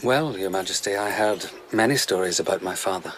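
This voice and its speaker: A man's voice: low-pitched, brisk, fairly bright tone, slight background noise, poor audio. A man in a radio drama playing a dreamy, hard-drinking vagabond who tells lyrical, rambling tales as a royal favourite. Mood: wistful, teasing.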